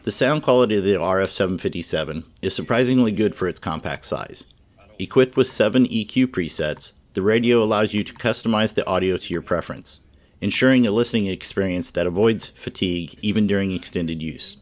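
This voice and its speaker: Very low-voiced male reviewer. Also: a little fast. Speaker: radio reviewer. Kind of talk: scripted product review narration.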